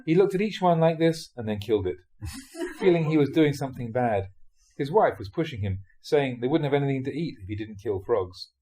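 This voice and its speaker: A man reads a Buddhist teaching story aloud as a storyteller would. His mid-pitched voice moves around a lot, giving the narration a lively, animated delivery. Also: a little fast, few pauses. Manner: animated storyteller, acts out dialogue, often chuckles. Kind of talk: audiobook reading of dharma talk storytelling.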